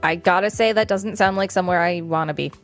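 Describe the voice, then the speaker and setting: A woman's voice: on the low side, quick pace, soft background music. A woman with an American accent, co-hosting a podcast, reading an article aloud with casual remarks. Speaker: podcast co-host.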